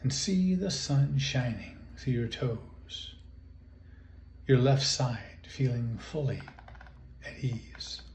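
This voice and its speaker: A man leading a guided relaxation meditation, speaking in calm, soothing, measured phrases with long pauses. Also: medium pitch. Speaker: guided imagery and relaxation instructor. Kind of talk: guided meditation, slow spoken instructions. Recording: roomy echo, faint background noise.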